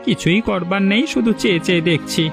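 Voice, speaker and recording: High-voiced, humble villager. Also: male voice, fast, gravelly, fairly bright tone, soft background music. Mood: sad, resolute. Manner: plaintive, earnest. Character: humble, struggling village eatery owner, devoted father. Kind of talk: Bengali village cartoon dialogue.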